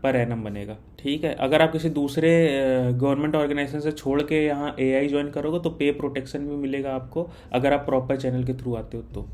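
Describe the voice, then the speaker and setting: A man with a slightly high voice talks through information in an informal, instructional way. He speaks like an online guide addressing applicants directly, often slipping English terms into his sentences. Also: darkish tone. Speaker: exam guidance content creator. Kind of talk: informal explainer of recruitment details.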